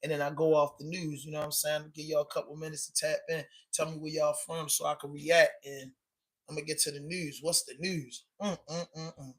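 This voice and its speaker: A man's voice: on the high side, level tone, fairly quick, slightly rough. A man with a Baltimore accent talking casually on a live stream, rambling a little and often asking "you know what I mean" as he urges viewers to drop a comment. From Baltimore, Maryland, US. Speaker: live-stream vlogger and news reactor. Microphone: camera on a tripod.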